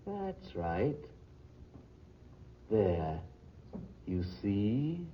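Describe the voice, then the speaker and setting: Casting: a murdered man's ghost, low, extremely slow, coolly menacing taunts, muffled vintage radio drama. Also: sing-song, a little hiss or hum, heavily acted. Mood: taunting. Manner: slow, deliberate, sinister taunting. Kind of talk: old-time radio drama acting.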